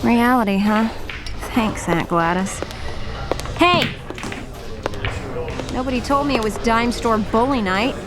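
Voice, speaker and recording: A cocky, tough young girl in a radio drama. She talks slowly with cool sardonic defiance, over loud music. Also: on the high side, lively intonation. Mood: sardonic, defiant. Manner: sardonic, cool, dry comebacks. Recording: loud music behind the dialogue.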